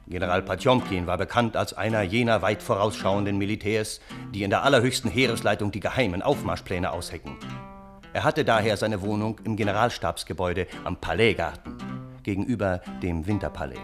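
Low-voiced, wry storytelling gentleman played by a man. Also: over music, natural voice. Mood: wry, confiding. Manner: measured, ornate, dryly ironic storytelling. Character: wry, wordy storytelling uncle, Russian gentleman, scheming and earnest. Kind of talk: narrated German radio play.

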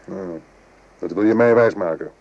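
An actor playing a wary, wry and commanding French corporal in a radio play, questioning briskly. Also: male voice, fairly low pitch, gravelly, highs cut off. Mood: suspicious, pressing. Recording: noisy old radio drama recording.

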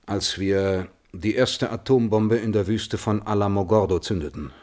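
A man acting as a thoughtful, scrupulous scientist in a German radio play, testifying in a measured, carefully worded way. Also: on the low side, a little mellow, lightly acted. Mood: grave, firm. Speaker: witness under questioning.

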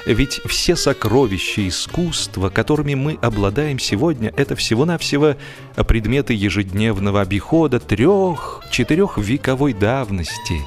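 A man narrating a humorous literary story in a theatrical, expressive way, with deliberate pauses and stretched words for emphasis. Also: medium pitch, faint music behind. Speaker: audiobook narrator and actor.

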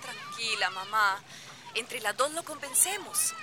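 A woman's voice: a little high, big pitch swings, unhurried, bright, forceful tone, a little hiss or hum, full character voice. A woman playing a soothing grown daughter in a radio drama, sounding distant. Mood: reassuring.

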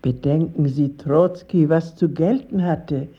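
Serious woman lecturing, husky n slow, slightly muffled radio play. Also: deep voice, exaggerated voice. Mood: grave, didactic. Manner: measured, didactic. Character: grave woman lecturing on socialist realist doctrine.

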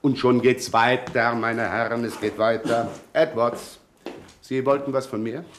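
A man's voice: medium pitch, level tone, measured pace, slight background noise, close to an everyday voice. Male bank auditor, played by an actor, mid-range voice, effusive and drily over-polite, for a radio crime comedy. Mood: bustling, courteous. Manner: effusive, chuckling, ironically courteous.

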